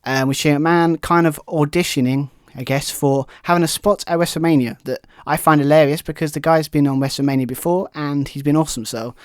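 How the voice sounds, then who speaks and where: A man's voice: a little high, steady tone, brisk, few pauses, smooth, no room sound. British guy rambling about wrestling on a podcast, keeps correcting himself, dry mic. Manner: rambling, self-correcting, opinionated. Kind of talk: casual chat.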